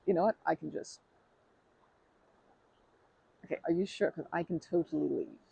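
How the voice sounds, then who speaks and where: A woman's voice: deep voice, moderate pace, vocal fry, mellow, husky, rough recording. A woman doing an ASMR roleplay as a friendly stranger who talks to the listener, rambling and hesitant, often restarting and correcting herself in an earnest, chatty way. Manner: friendly and earnest, with light laughs.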